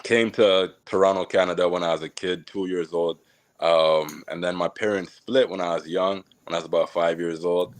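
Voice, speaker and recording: A man from Jamaica, a podcast guest, telling his life story in a relaxed, reflective way with many fillers and small laughs. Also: low-pitched, moderate pace. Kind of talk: casual storytelling interview.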